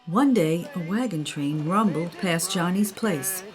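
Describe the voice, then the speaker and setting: Lower-pitched older woman reading a storybook. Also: a little slow, over music. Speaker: grandmother reading a children's story. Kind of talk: storybook narration.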